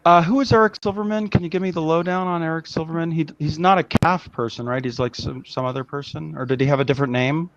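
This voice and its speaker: A man's voice: a little high, fast talker, hardly any pauses, lo-fi sound. Casual rambling man hosting a live chat. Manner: rambling, restarts and asks many questions. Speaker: host of a live video chat show.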